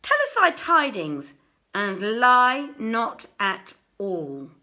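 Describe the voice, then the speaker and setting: Woman voicing forceful, solemn robber brothers. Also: fairly high pitch, sing-song, very slow, full character voice. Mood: commanding. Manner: forceful, solemn archaic phrasing. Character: band of male robber brothers, commanding, solemnly bargaining.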